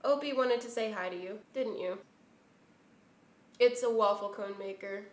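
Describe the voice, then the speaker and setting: A woman's voice: medium pitch, unhurried. A woman in her twenties hosting a casual, chatty baking vlog, with a self-deprecating, playfully exasperated way of talking. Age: 20s. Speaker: host of a baking video series. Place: home kitchen, indoors. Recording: roomy kitchen echo. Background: background music.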